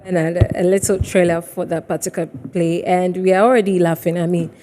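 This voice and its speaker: Ghanaian lady tv host, relaxed dark voice on the low side, chatty questions. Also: a little fast, big-room sound, a little hiss or hum. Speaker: TV show host. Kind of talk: casual interview chat.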